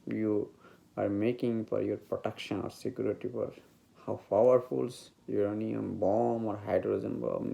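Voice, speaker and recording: A man with a Bengali accent, speaking English as a later language, giving an informal spiritual talk about meditation, halting and searching for words. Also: mid-range voice, vocal fry, warm tone. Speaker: meditation teacher.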